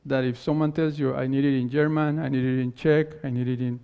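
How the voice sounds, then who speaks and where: A man whose first language is not English, giving a technical conference talk and answering questions in halting phrases that he often restarts. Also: mid-range voice, flat delivery, creaky, clean tone. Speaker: conference speaker. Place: conference hall stage. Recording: dull lo-fi conference recording.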